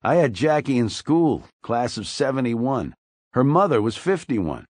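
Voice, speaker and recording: A man voicing a courteous, calm older male schoolteacher with measured speech. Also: mid-range voice, dry recording, studio quality.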